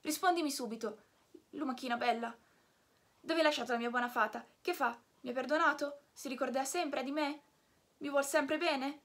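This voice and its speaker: A woman voicing an eager, warm-hearted wooden puppet boy, breathy and full of tumbling questions. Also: sits high, normal speed, pitched above the performer's own voice. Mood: anxious. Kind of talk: storybook reading.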